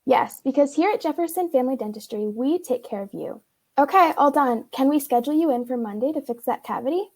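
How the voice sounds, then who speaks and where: A woman's voice: high voice, lively intonation, brisk, a little mellow. A woman playing a reassuring, upbeat dentist in a scripted radio commercial.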